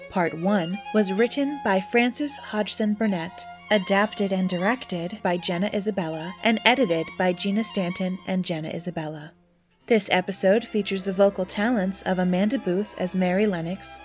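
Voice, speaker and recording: Upbeat woman reading closing credits. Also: medium pitch. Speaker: announcer.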